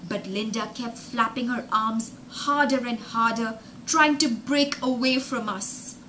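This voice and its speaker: A young woman telling a story as a children's teacher, dramatic and emphatic, pausing to explain words with synonyms. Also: a little high, sharp tone, a little hiss or hum, rough recording. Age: young adult. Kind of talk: animated storytelling lesson with explanations. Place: indoor room with glass-fronted bookshelves.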